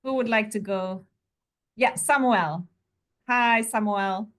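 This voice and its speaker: A woman's voice: mid-range voice, takes it slowly, a bit bright. A woman moderating a video-call question session, friendly and a little hesitant, repeating words as she invites questions. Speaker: host of an online info session.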